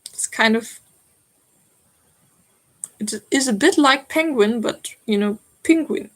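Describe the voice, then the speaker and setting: A woman's voice: a little high, slow. A young woman in her twenties with a German accent, hosting a live stitching stream and chatting to viewers about their comments, giggling often. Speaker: stitching channel host.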